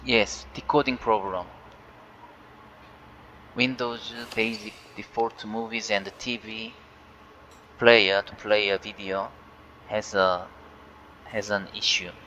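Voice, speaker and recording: Korean-native man hosting a tech vlog, slow, bright tone, halting with fillers, faint hiss under a dull mic. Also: on the low side, steady tone. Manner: halting, many fillers and restarts. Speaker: tech channel host. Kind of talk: casual screen-recorded walkthrough.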